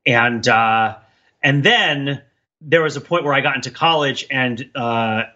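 A man's voice: mid-range voice, measured pace, bright, forceful tone, lo-fi sound. A man co-hosting a podcast in casual chat, discursive, thinking aloud and often checking his points with "right?". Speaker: podcast co-host.

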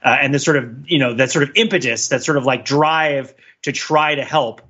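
A man co-hosting a casual pop culture discussion, talking in a halting, digressive way and often correcting himself. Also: medium pitch, sharp tone, husky, lo-fi sound. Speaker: podcast co-host.

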